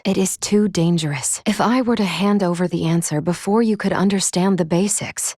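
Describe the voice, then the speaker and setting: A woman voicing a polite, analytical female android in a video game, with formal, measured diction and a caring, fussy manner. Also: lowish voice, mellow, no room sound, studio quality, lightly acted.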